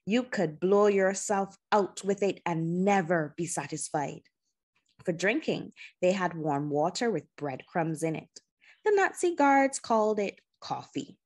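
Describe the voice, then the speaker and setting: Woman reading a kids novel out loud, lowish voice, careful phrasing w pauses, audiobook style. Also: animated. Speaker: reader of a children's novel. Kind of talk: audiobook-style narration of a story.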